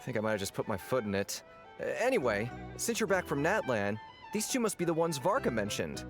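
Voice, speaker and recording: Casting: man playing easygoing game innkeeper, jovial, highly expressive pitch swings, mid-range voice, music underneath. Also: a little fast, lightly acted. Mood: sheepish, explaining. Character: affable, theatrical male tavern owner, easygoing. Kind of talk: video game voice acting. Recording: music behind the voice.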